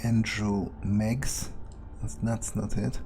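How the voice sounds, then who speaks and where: A man's voice: lowish voice, steady tone, takes it slowly, gravelly, dark tone, slight background noise. A man thinking aloud in character while playing a video game, musing and casually profane. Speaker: let's-play gamer speaking in character.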